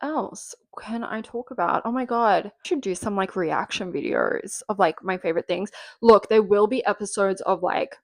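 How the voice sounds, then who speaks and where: A woman's voice: medium pitch, moderate pace, few pauses, no room sound. A woman in her twenties hosting a casual solo podcast, chatty and rambling with lots of fillers, a bit nasal from being sick, recorded at home. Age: 20s. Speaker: podcast host.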